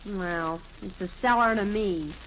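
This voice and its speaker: An actress playing a dramatic, sulky American teenage daughter in an old radio sitcom, plaintive and emphatic. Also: female voice, medium pitch, a little slow, a bit bright. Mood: disappointed, sulky. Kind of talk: 1950s radio sitcom acting. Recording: dull, noisy old radio broadcast recording.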